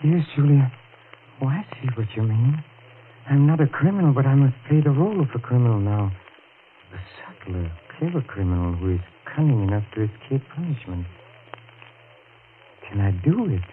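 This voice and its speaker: A man's voice: medium pitch, expressive, moderate pace, long pauses, lightly acted. Man playing a stammering, desperate murderer. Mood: anguished. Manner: stammering, breaks into hysterical laughter. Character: desperate man who killed a rival. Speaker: radio drama actor. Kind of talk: 1940s radio acting. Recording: tinny lo-fi 1940s radio broadcast with hiss.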